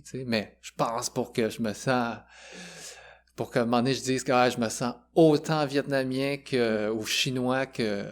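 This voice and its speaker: A man's voice: mid-range voice, slight background noise. A man in his forties with a Quebec French accent, a musician talking thoughtfully as a podcast guest, with frequent hesitations. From Quebec, Canada. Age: 40s. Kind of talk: casual conversation.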